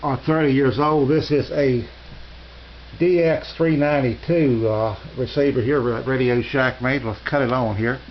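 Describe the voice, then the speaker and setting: A middle-aged man casually demonstrating gear as a hobbyist reviewer, unscripted and halting, often hesitating and clearing his throat. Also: medium pitch, fairly even intonation. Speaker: amateur radio hobbyist reviewer. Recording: dull lo-fi sound with hiss.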